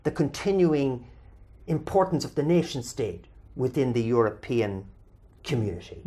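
Irish guy hosting a chat, takes viewer questions, measured and lively, stretches out the key words. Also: mid-range voice, a little hiss or hum. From Ireland. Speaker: host answering viewer questions. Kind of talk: conversational discussion.

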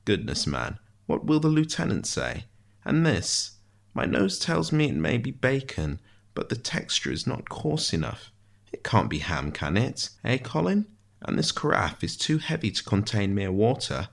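A wry male prisoner from a dark storybook tale, acted out by a male reader. His low voice is dry and precise, with florid, theatrical turns of phrase. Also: very animated, measured pace, creaky, exaggerated voice. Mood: sly, jovial.

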